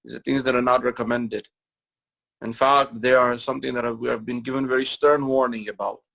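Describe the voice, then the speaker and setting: A man lecturing in a mosque, his voice gravelly with a mid-range pitch. He speaks slowly and deliberately, repeating key phrases, and he breaks into recited Arabic verses before explaining them in English. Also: level tone, a bit bright, rough recording. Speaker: imam giving a Ramadan lecture. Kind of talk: sermon-style religious lecture.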